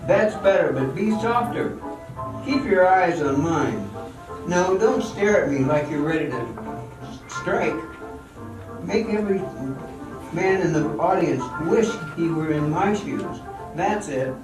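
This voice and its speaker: A man's voice: fairly high pitch, animated, slow. A man playing an exacting, cocksure professional dancer in a theatrical amateur radio drama, with a commanding, instructive manner. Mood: instructing. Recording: echoey hall, distant mic, music behind.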